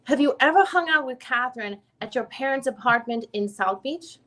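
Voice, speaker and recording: A woman's voice: sits high, lively intonation, moderate pace, pushed and bright. A woman reading the part of a lawyer questioning a witness at a deposition in a formal, methodical way. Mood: formal, probing. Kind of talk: dramatic reading of a legal transcript.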